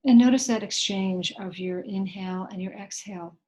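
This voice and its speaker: A woman leading a guided meditation in a gentle, unhurried way, leaving long pauses between short instructions. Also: mid-range voice.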